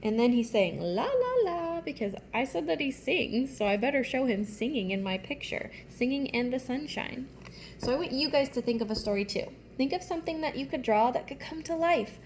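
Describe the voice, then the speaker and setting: High-voiced woman teaching young children. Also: lively intonation, brisk, a little mellow. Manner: simple, explanatory, addressing young children. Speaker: elementary school teacher. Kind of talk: children's read-aloud and writing lesson. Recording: faint background noise.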